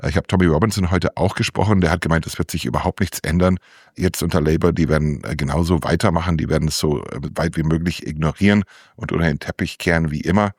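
A man with a low-pitched voice comments on politics as a correspondent in an interview. He talks without a script. The sound is dry, with very little room in it. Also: breathy edge, no room sound. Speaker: political correspondent reporting from London.

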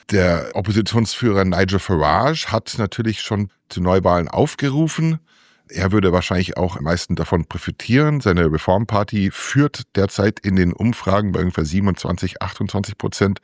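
A man with a low-pitched voice comments on politics as a correspondent in an interview. He talks without a script. The sound is dry, with very little room in it. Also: no room sound. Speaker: political correspondent reporting from London.

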